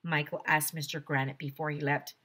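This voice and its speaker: A woman teacher giving an expressive children's read-aloud, explaining things clearly with asides for young listeners. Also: low voice, a little fast. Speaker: teacher reading aloud to pupils.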